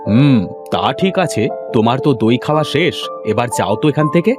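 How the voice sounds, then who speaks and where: A man's voice: mid-range voice, lively intonation, moderate pace, natural voice. A man voicing an exasperated village yogurt seller in a cartoon, with exaggerated, grumbling delivery. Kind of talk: Bengali cartoon voice acting. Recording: loud music behind the voice. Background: music.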